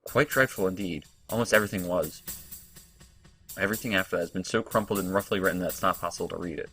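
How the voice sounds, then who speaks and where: A man with a fairly low voice narrates his own let's play. He reads the on-screen story text aloud, then breaks off to work through it in halting, casual remarks. Soft game music plays underneath. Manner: halting, thinks aloud with restarts. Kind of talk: reading game text and reacting.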